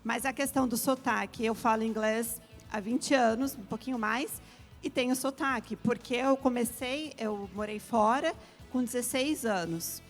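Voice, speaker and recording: Uma mulher brasileira de meia-idade, especialista em educação internacional, explicando com calma e muitos "né" numa entrevista. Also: female voice, fairly high pitch, normal speed, soft background music. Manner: explains at length, frequent "né" tags. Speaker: international education specialist, guest. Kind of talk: explanatory interview talk.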